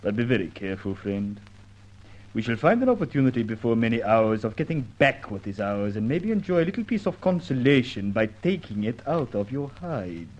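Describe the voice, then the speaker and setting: Coolly menacing, formal radio villain. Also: male voice, medium pitch, big pitch swings, highs cut off. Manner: stilted, formal, measured threats. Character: menacing, formal male foreign crook, coolly polite. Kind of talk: old-time radio detective drama acting.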